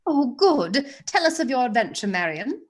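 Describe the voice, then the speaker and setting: A woman's voice: high-pitched, sing-song, normal speed. A woman playing an eager, gushing princess in a radio play. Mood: delighted. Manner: delighted and gushing.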